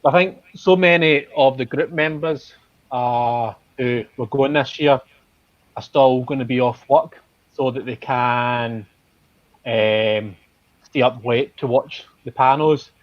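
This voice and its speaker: A man with a thick Glaswegian accent chats as a guest, hesitant and self-deprecating, filling his pauses with ums. His voice comes through a video call that sounds muffled and dry, with no room around it. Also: mid-range voice, fairly even intonation, dry recording. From Glasgow, Scotland. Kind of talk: casual livestream chat. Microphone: video call webcam.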